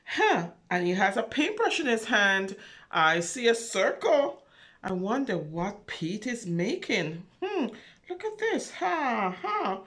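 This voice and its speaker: A woman reading a picture book aloud to preschoolers, expressive and full of wonder, pausing to put questions and little asides to her young listeners. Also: fairly high pitch. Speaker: children's storyteller.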